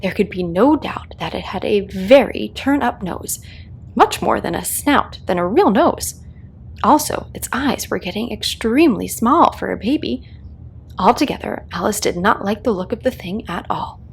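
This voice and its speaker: A woman narrating a children's story as a read-aloud host, in a calming, expressive storytelling style. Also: mid-range voice, moderate pace, vocal fry, slightly rough.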